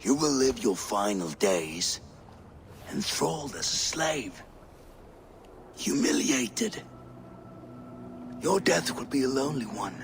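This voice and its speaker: Cruel old warlord, gravelly and slow, mocking, soft music under it. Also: male voice, medium pitch, big pitch swings, noisy background. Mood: gloating. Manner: taunting, slow and gloating. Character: cruel older male warlord, mocking and menacing. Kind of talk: video game cutscene acting.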